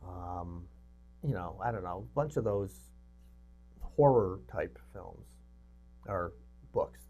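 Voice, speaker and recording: A middle-aged man co-hosting a movie review show, giving short, halting replies in casual chat. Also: low voice, expressive, dark tone, muffled recording.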